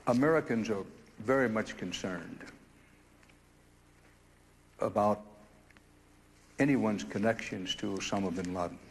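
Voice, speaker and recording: Low-voiced male interviewer in reverberant room. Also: measured pace, long pauses, a little hiss or hum. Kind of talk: formal interview questioning.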